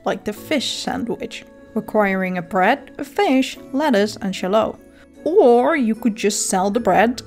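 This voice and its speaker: A woman's voice: medium pitch, darkish tone. A woman with a Dutch accent, a native Dutch speaker, narrating a scripted game guide with dry, self-mocking humor.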